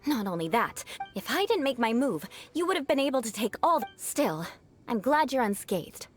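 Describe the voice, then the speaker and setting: A woman's voice: a little high, very animated, moderate pace, faint music behind. A woman playing a proud young noblewoman knight in an anime game, haughty, formal and theatrical in her delivery. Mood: haughty, composed.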